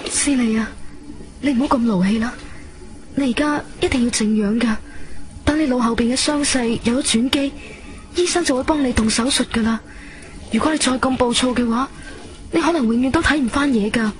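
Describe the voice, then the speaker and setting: An actress with a Cantonese accent playing a caring, steady wife in a radio drama, soothing and earnestly urging calm. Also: female voice, a little high, a little fast, long pauses, soft background music, lightly acted.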